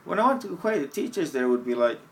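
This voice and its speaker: A man's voice: on the high side, very animated, quick pace, rough recording. A young man with a slight Scottish-tinged accent, rambling profanely to his camera in a stream-of-consciousness monologue, often laughing at himself, at an outdoor bus stop. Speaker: vlogger. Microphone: camera mic.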